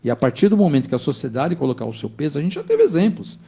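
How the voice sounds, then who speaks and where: A man's voice: on the high side, huge pitch swings, fast talker, dark tone, slightly rough. A man in his fifties speaking as a business executive guest in a studio discussion. He talks in an emphatic, insistent way and keeps checking that the listener follows. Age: 50s.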